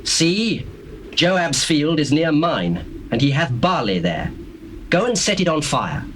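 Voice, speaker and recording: A proud, scheming young British prince in a scripture drama. His theatrical, declamatory speech rises and falls widely, over clear tape noise and birdsong. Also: fairly high pitch, sing-song, slow, a bit bright, heavily acted. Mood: imperious, aggrieved. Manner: declamatory, archaic formal phrasing. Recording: noisy old cassette tape, birdsong behind.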